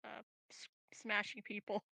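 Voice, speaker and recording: Female tabletop gamer, unhurried casual talk with vocal fry, close dry sound. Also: medium pitch, monotone, bright, forceful tone, dry recording. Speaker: tabletop game player. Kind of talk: casual game chat.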